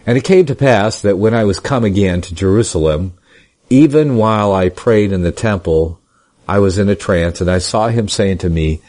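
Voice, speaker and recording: Deep-voiced man preaching through scripture. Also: a little mellow. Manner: reads scripture slowly and emphatically, then explains in casual asides. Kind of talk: sermon reading and explaining scripture.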